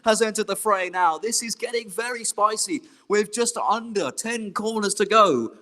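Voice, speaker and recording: High-voiced excitable male race commentator. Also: normal speed. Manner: excitable, rising to shouts in tense moments. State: hoarse, losing his voice. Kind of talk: sim racing commentary.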